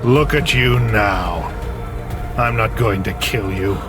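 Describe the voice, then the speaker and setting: An actor playing a vengeful, gloating villain in a dramatic, theatrical video game cutscene. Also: male voice, on the low side, slow, music in the background. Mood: menacing. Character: vengeful, gloating male villain scheming against a tech company.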